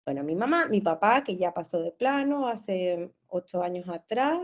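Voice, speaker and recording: A Chilean woman in her forties chats as a guest with a bright, easy cheerfulness, often breaking into laughter. Her talk wanders with fillers and restarts. The sound is dull and lo-fi, as over a video call. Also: on the low side, animated, normal speed. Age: 40s. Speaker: guest, therapist. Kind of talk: casual conversation.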